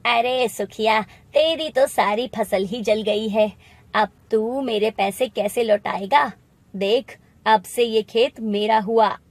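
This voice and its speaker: A woman voicing a cruel male moneylender who threatens poor farmers in an animated moral story. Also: on the high side, slow, no room sound. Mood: gloating. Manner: domineering, threatening, loud.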